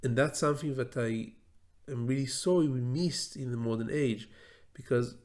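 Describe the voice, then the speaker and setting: A middle-aged man, a native Hebrew speaker from Israel, giving a slow, thoughtful solo talk on learning English, with frequent pauses and fillers. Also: medium pitch, a little mellow. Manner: thoughtful, many fillers and restarts, slow deliberate phrasing. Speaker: law professor teaching English learning tips. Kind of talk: solo educational talk to camera.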